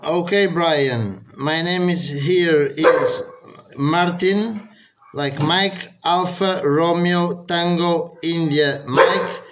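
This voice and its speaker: A man's voice: on the high side, drawn-out words, background noise. A man from Portugal with a Portuguese accent, speaking as an amateur radio operator making contact calls.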